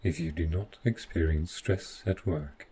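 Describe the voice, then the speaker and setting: A man playing a devoted, overprotective robot butler in a scripted audio roleplay, speaking in formal, measured, literal phrases. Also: low-pitched, mellow, a little hiss or hum. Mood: formal, caring.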